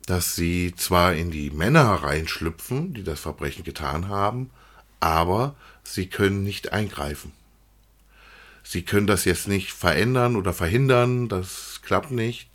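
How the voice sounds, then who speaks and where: Very deep-voiced man, casual podcast chat. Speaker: podcast co-host reviewing audio dramas. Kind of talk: unscripted casual review chat.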